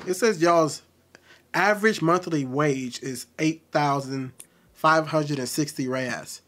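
A man hosting a casual video-call chat, teasing and blunt in an animated way. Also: a little high, takes it slowly. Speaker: commentary channel host.

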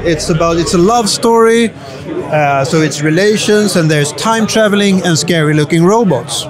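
A man's voice: sits high, normal speed, crowd noise. A man, an indie game developer, talking about his game in an enthusiastic, rambling way with many fillers into a handheld mic. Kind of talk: casual interview. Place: crowded indoor event room.

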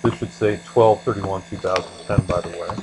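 A man giving an explanatory screencast lecture as an instructor, speaking deliberately and letting the ends of phrases trail off. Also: deep voice, creaky. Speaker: accounting instructor. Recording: steady mains hum behind voice.

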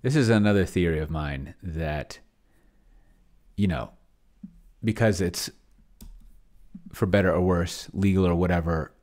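A man's voice: deep voice, a little slow, pauses often, darkish tone. A middle-aged man giving casual, unscripted livestream commentary, rambling as he thinks aloud and trailing off into mumbles. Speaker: host of a tabletop roleplaying experiment stream.

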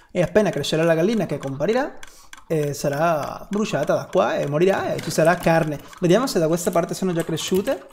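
Chatty young man, noisy home recording. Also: on the high side. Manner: chatty, repeats himself, mutters mild swear words. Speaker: gaming YouTuber. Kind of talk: casual spoken Minecraft building tutorial. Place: small room at home. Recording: clear background noise, keyboard and mouse clicks.

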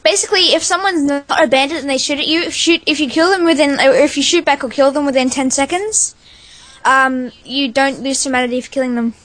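A woman's voice: high-pitched, brisk, fairly bright tone, no room sound. A woman talking casually with a friend over voice chat while gaming, chatty and hesitant, full of fillers. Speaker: gamer.

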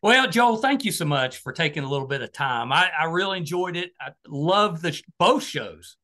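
A man's voice: a little high, expressive, normal speed, sharp tone. A middle-aged man hosting a podcast interview over a video call, chatting casually with an easy laugh. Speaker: podcast host.

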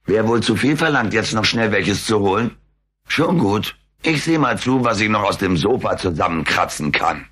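A man playing a cheeky, wisecracking alien houseguest in a German children's audio drama, with a husky voice and a sardonic, flippant manner. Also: lowish voice, animated, normal speed, fairly bright tone. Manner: sardonic, flippant, mock-offended.

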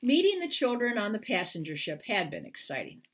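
An older American woman, a children's author, speaking to viewers at home in an expressive, unhurried storytelling manner. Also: mid-range voice, slight background noise. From Iowa, United States. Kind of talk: picture book read-aloud and informal talk to viewers. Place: living room at home, indoors. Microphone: webcam at arm's length.

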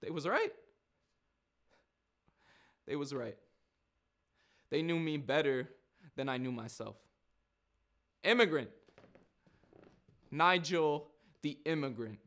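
A man's voice: a little high, slow pace, long pauses, fairly bright tone. An actor in his twenties playing a streetwise, brash, wounded young man in a theatrical monologue, with slangy, insistent, repetitive delivery. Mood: resigned. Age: 20s. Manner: slangy, swearing, insistent, with repeated phrases.

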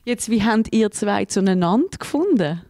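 A woman's voice: mid-range voice, expressive, measured pace, darkish tone, no room sound. A woman in her thirties with a Swiss German accent, interviewing guests for TV with friendly, curious questions, speaking into a handheld mic. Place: small indoor studio room.

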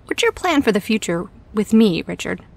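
A woman's voice: medium pitch, very animated, moderate pace, vocal fry. A woman playing a frank, dry-humoured boss in an audio drama, speaking in a casual, candid way. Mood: fond. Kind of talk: audio drama acting, conversational.

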